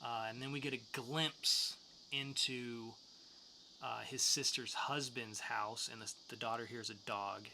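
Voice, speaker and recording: A man's voice: mid-range voice, steady tone, unhurried, gravelly, sharp tone, slight background noise. A man co-hosting a podcast, giving reviews in a casual, conversational way. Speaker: podcast co-host reviewing comics.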